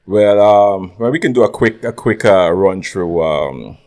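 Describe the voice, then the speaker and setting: Deep-voiced guyanese guy chatting in a studio, lots of ums. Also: measured pace. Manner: relaxed, rambling with many fillers. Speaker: guest, recording artist. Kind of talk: casual interview. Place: podcast studio.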